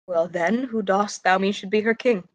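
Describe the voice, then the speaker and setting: A woman's voice: mid-range voice, normal speed, close to an everyday voice. A young woman playing a bereaved, scornful queen in a Shakespearean radio play, speaking formal verse with biting sarcasm. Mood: wary scorn. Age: young adult. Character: bereaved mother queen, bitter, scornful and grieving. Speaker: student actor.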